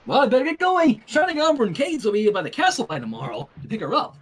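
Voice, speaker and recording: A performer voicing a bubbly, affectionate young female pony princess with an excitable, cooing manner. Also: sits high, fast, husky, voice pushed up, full character voice. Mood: grateful, cheery.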